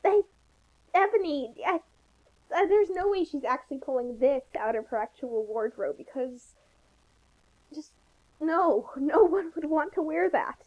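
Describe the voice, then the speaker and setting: A woman giving casual, joking commentary in a wry, halting, exasperated way. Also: sits high, lively intonation. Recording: slightly dull lo-fi voice channel.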